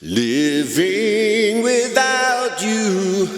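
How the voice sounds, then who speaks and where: Male amateur ballad vocalist, high register, a cappella, sustained notes, roomy reverberant recording. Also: lively intonation, extremely slow, soft background music. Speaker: amateur singer. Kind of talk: a cappella singing of a slow rock ballad.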